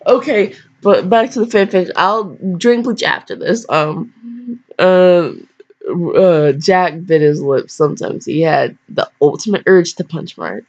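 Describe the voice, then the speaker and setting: A woman's voice: lowish voice, a little slow, darkish tone. Goofy young woman, dull lo-fi mic. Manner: goofy, self-deprecating, easily sidetracked. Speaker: fan fiction reader. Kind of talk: casual reading aloud with rambling commentary. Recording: dull lo-fi microphone.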